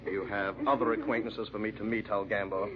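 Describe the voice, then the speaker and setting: A man's voice: lowish voice, flat delivery, fairly quick, natural voice. A man playing a suave, forward French nobleman in a 1940s radio drama, courtly and seductive in his talk. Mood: smooth, courtly. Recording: dull, muffled old radio recording.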